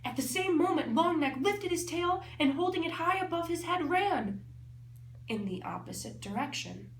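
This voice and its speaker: A woman's voice: very high-pitched, expressive, normal speed. A woman teacher reading a storybook aloud expressively, explaining and thinking aloud for children. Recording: echoey room, faint background noise.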